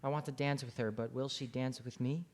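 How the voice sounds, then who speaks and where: A man's voice: medium pitch, steady tone, brisk, darkish tone, natural voice. A man playing a dreamy, lovestruck painter in a table read of a romantic play, with a wistful manner. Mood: lovestruck, wondering. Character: lovestruck dreamy male painter, reincarnated ancient king.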